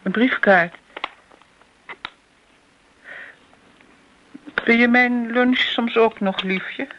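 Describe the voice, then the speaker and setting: A woman's voice: fairly high pitch, steady tone, slow, a little hiss or hum. Guarded older woman, muffled recording. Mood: dryly affectionate. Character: guarded elderly woman, evasive about an old secret love. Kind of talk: radio drama acting. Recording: dull, lo-fi radio drama recording.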